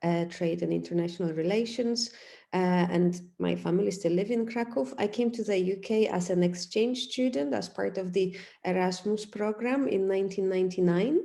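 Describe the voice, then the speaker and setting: A woman from Poland with a Polish accent, a management professor introducing herself in a measured way with pauses. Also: medium pitch, vocal fry, dark tone. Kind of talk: self-introduction on a webinar.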